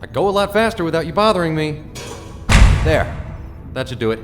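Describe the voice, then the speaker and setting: A man's voice: a little high, sing-song, a little fast, over music. A man playing an irritable, protective middle-aged father who talks bluntly and impatiently in an audio drama. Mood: irritated.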